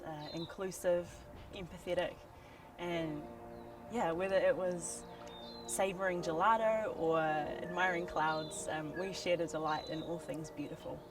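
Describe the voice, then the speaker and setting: A young woman telling a personal story in earnest, reflective scripted narration. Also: fairly low pitch. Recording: distant voice-over under loud music.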